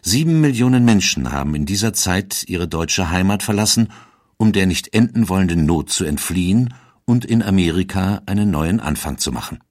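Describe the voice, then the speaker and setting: A man narrating a scripted historical documentary in a measured storytelling manner. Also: low-pitched, expressive, darkish tone, no room sound, clean studio sound.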